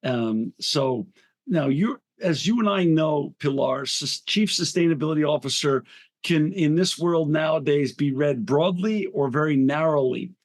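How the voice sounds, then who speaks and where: A man's voice: a little high, expressive, measured pace. A man hosting a podcast interview who is effusive and polite, with frequent praise for his guest. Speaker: podcast host. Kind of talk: podcast interview and sponsor read.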